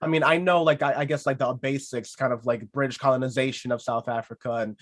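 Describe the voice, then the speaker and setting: A man's voice: mid-range voice, fairly even intonation, fast talker. A man hosting a podcast interview, chatty and playful, often restarting his sentences. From United States. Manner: playful, rambling, many restarts. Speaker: podcast host. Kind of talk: casual interview chat.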